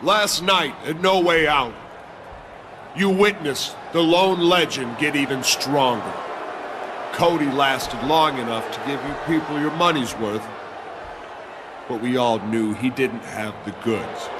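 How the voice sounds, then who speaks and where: A man playing an arrogant, menacing wrestling champion in a video game, cocky, boastful and deliberate. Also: mid-range voice, noisy background, natural voice.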